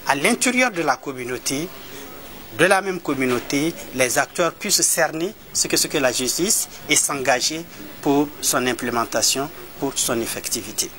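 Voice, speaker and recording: A man from Mali, an advocate, giving a measured, explanatory interview answer with frequent hesitations and repeated words. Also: medium pitch, lively intonation, bright, forceful tone, slight background noise. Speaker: human rights advocate.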